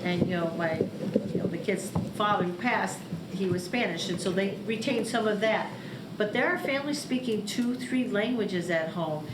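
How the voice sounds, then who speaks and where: Middle-aged woman, board member, mid-pitched voice, public discussion, echoey room, clear background noise. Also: moderate pace. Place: large meeting room.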